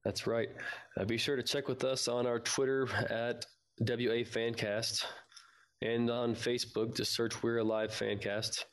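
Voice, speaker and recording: A man co-hosting a fan podcast, chatting casually and at times reading aloud. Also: medium pitch. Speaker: podcast co-host.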